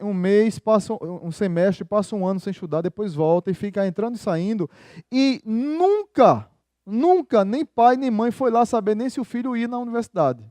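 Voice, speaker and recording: A man's voice: sits high, dark tone, dry recording, studio quality. A male pastor preaching a sermon in a conversational style, often turning to the congregation with rhetorical questions.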